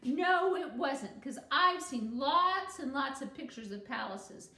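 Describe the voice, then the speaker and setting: A woman voicing an argumentative, eager schoolboy who protests in an excited, insistent way. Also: sits high, slow, voice pushed up, heavily acted. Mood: indignant. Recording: roomy echo, faint background noise.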